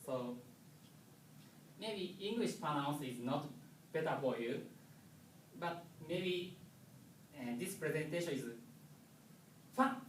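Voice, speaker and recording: A man from Japan with a Japanese accent, a non-native English speaker giving a technical conference presentation with halting, pause-filled phrasing. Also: a little high, animated, fairly bright tone. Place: lecture room. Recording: echoey room, distant mic, faint noise.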